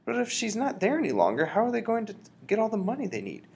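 A man voicing an anxious, eager young boy who has been turned into a mouse, with a high, urgent, exclaiming delivery. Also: huge pitch swings, fast talker, gravelly, slightly rough, exaggerated voice. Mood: anxious, pleading.

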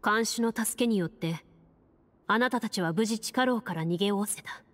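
An actress playing a serious, composed game master, narrating a fantasy quest in measured storytelling for a video game. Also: female voice, medium pitch, close to an everyday voice. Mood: composed narration. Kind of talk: Japanese game voice acting, scripted narration.

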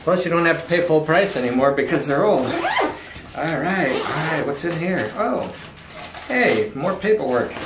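A man's voice: fairly high pitch, big pitch swings, unhurried. A middle-aged man in a casual home vlog, chatty and enthusiastic as he unpacks, with salesy asides, recorded in a living room by a camera at some distance. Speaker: toy car collector and dealer. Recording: echoey living room, faint background noise.

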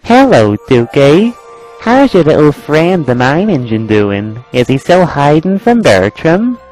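Storybook rogue: sly, bossy male engine with a high, lively, taunting voice a man performing. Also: unhurried, faint music behind, poor audio. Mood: mocking.

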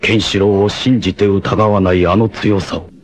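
An actor playing a noble, fatherly blind rebel warrior in an anime, with a solemn, formal, measured delivery. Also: on the low side, fairly even intonation, natural voice. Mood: solemn, tender. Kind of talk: dramatic anime acting.

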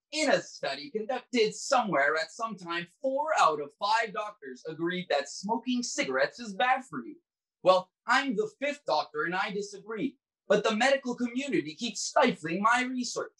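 Man acting an animated, high-voiced quack doctor. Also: exaggerated voice, low-quality recording. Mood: indignant, conspiratorial. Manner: earnest, conspiratorial salesman patter. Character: shady, smugly confident quack doctor pitching cigarettes. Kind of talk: 1940s radio ad acting, comedic.

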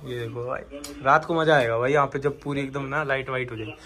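A young man in his twenties chatting excitedly to his phone camera while on a road trip, dropping English words into casual talk. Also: mid-range voice, fast. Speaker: travel vlogger. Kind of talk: casual travel vlog to camera. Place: outdoors on a hillside and in a small hotel room. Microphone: phone held at arm's length.

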